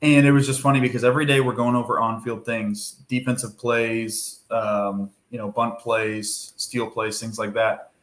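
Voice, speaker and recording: A young man from Minnesota, a baseball pitcher, talking casually in a podcast interview, easygoing and rambling with fillers. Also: little pitch movement, moderate pace, few pauses, a bit bright, reverberant.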